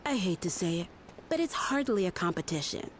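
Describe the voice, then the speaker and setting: A woman's voice: fairly low pitch, animated, brisk, slight background noise, natural voice. An actress playing a blunt, skeptical townswoman gossiping matter-of-factly in a video game. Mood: doubtful. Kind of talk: scripted video game dialogue.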